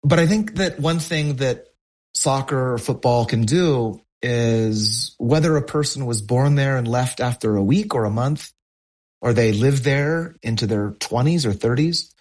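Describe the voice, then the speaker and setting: A man's voice: medium pitch, unhurried, a little mellow. A middle-aged American man, a poet, talking reflectively as a guest in an interview. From United States, California.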